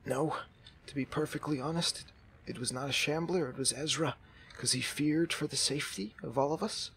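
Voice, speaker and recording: Man playing halting, earnest Hansel, zombie survivor. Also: on the high side, gravelly, fairly bright tone, slight background noise. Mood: earnest confession. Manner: halting, earnest, folksy, repeats himself. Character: Hansel, earnest, babbling, kindly male zombie apocalypse survivor. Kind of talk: improvised online game roleplay.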